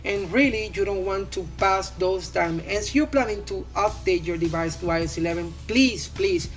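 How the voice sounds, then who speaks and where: A man's voice: high voice, moderate pace, soft background music. A man whose first language is Spanish, speaking English with a Spanish accent as he casually reviews a phone in a rambling voice-over full of "you know". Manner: rambling, filler-heavy, repeats "you know". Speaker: tech YouTuber.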